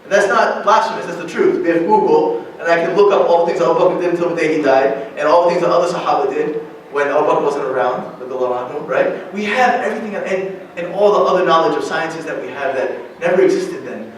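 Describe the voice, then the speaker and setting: A high-voiced man gives a relaxed religious lecture, checking in with his listeners as he goes. He sits well back from the microphone. His voice rings through a very reverberant hall, with a faint hiss of noise underneath. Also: quick pace. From United States. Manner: conversational, often checks in with a questioning "right?". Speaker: guest lecturer at an Islamic center. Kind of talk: informal religious talk to young adults. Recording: very echoey hall, distant mic.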